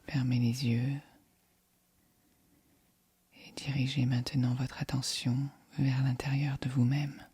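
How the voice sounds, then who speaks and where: A woman guiding a yoga nidra meditation in a soft, breathy, whispery voice, calm and soothing. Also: a bass voice, no echo. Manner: calm, soothing, measured delivery. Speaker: meditation guide.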